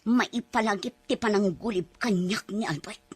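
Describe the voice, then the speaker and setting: A woman's voice: mid-range voice, moderate pace, slightly rough, no echo, lightly acted. A woman voicing a cruel, scheming female employer who gives threatening orders to her maid in a radio drama. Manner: cold, commanding, threatening.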